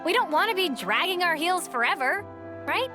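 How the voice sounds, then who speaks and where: A woman's voice: very high voice, very animated, normal speed, over music. A woman playing a perky, eager young girl fighter in a video game, chirpy in manner. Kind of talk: video game cutscene acting.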